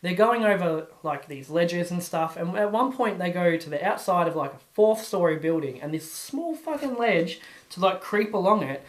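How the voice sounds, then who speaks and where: A man's voice: sits high, expressive, normal speed. An Australian man co-hosting a podcast, telling things in a casual, informal way with some swearing. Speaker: podcast co-host. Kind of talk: casual storytelling chat. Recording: echoey room.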